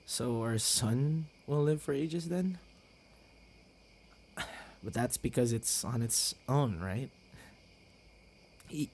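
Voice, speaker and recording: A man playing a tender, heartbroken young boyfriend in an intimate ASMR roleplay, with halting, stammering delivery and sighs. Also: medium pitch, darkish tone, slightly rough, exaggerated voice. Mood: gentle, tearful.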